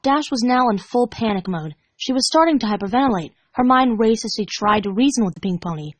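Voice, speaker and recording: Female fan-fiction narrator, highish voice, dramatic storytelling, expressive intonation. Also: brisk, no echo.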